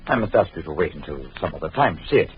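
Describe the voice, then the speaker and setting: A man's voice: low-pitched, animated, racing. An actor playing a nervous Frenchman who hides his lover, polite but evasive and flustered, in a 1940s radio drama. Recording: dull lo-fi old radio recording with faint hiss.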